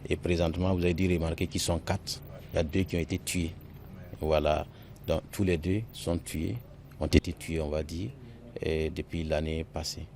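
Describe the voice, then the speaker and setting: A man giving spontaneous interview answers as a company employee, halting and repeating his words. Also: a bass voice, steady tone, a little mellow, a little hiss or hum.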